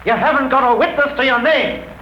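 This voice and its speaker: An actor playing a scheming, impatient swindler in 1930s radio drama style, sneering and theatrical, on a scratchy, muffled broadcast. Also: male voice, very high voice, fairly quick. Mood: sneering, irritated.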